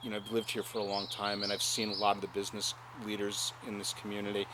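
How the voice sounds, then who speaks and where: A middle-aged man interviewing his guests in a chatty, animated way, laughing easily and often affirming with mm-hmms. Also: fairly low pitch, fast talker, background noise. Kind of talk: casual interview.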